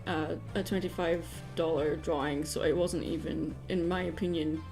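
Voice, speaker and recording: A woman's voice: low-pitched, steady tone, moderate pace, a little mellow. Woman chatting casually on livestream, music behind. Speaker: livestream host, commission artist. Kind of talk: casual livestream chat while drawing.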